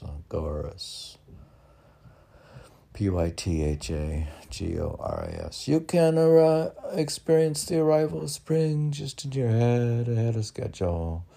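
An older man reads his poems in a deep voice with a gravelly creak. He moves haltingly through the lines, in a poetry recital that keeps a casual, conversational edge. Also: dark tone. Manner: halting, spells out and repeats words. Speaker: poet reading own work. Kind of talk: poetry reading with casual asides.

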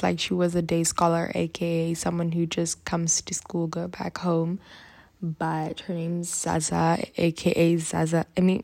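A woman's voice: lowish voice, creaky, darkish tone. A girl of about twelve from Uganda with an American-sounding accent, chatting casually and giggling through a rambling vlog from her school hostel. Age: about 12 to 13, grade seven. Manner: chatty, giggly, goes off on tangents. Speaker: vlogger. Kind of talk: casual rambling chat to camera.

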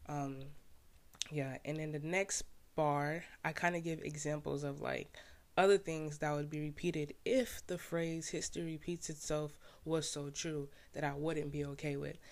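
A man's voice: fairly high pitch, fairly even intonation, a little slow, vocal fry. A young man from Haiti, a podcast host and poet, casually explaining his own poem in laid-back slang full of "like" fillers.

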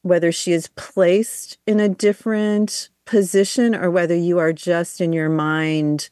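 A woman's voice: on the low side, steady tone, unhurried, a little mellow, no room sound, studio quality. A woman co-hosting a radio arts interview, asking long, searching questions full of fillers. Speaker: radio arts show co-host.

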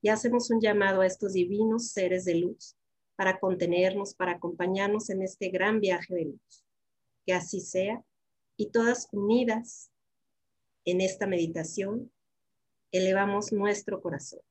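A woman with a medium-pitched voice leads a spiritual invocation for a guided meditation. She is solemn and prayerful, setting out each phrase in turn. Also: steady tone, unhurried, a little mellow.

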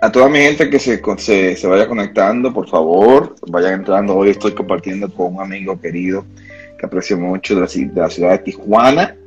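A man's voice: sits low, a little fast, lo-fi sound, faint music behind. A Dominican man from Santiago, a guest in a casual livestream chat, talking with many fillers and restarts. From Dominican Republic.

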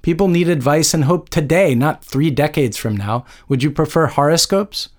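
A man's voice: fairly high pitch, normal speed, voice pushed up, close to an everyday voice. A man voicing an older woman academic in a story, a doting mother who is earnest, persuasive and placating.

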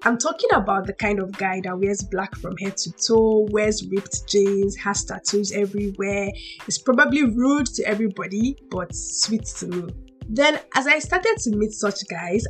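A young woman hosting a faith podcast, talking in a chatty way with a hoarse voice and laughing between points. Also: mid-range voice. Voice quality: husky. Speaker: podcast host. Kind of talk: faith-based casual podcast talk.

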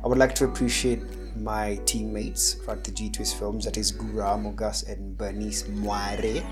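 A man's voice: lowish voice, little pitch movement, measured pace. A man hosting a podcast and narrating radio drama. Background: music.

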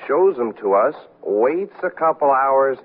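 A man's voice: medium pitch, animated, a little slow, slightly rough. An actor playing a tipsy, disgruntled company man who rambles and confides sardonically in a 1970s radio comedy. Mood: amused, gossipy. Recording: thin, phone-like vintage radio recording.

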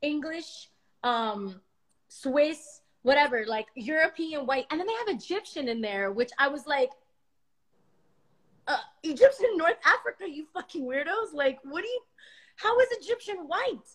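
A woman from Miami telling a story in casual chat, animated and exasperated, swearing freely. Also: sits high, a little slow. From Miami, United States.

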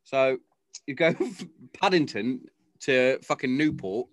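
A man's voice: medium pitch, expressive, slow, no echo. Sweary laddish man. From Hereford, England. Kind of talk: casual chat.

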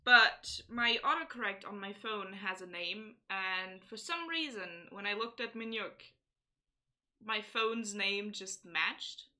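A woman, talking in a very bright, ringing voice. She co-hosts a casual chat podcast and reads out listener replies in an easy, chatty way. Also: mid-range voice, takes it slowly. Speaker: podcast co-host.

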